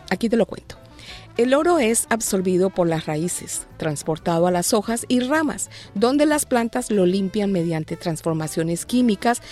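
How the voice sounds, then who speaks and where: A woman's voice: medium pitch, darkish tone. A woman hosting a light news segment, reading scripted stories with playful, joking asides. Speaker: news show host. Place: lives in Australia.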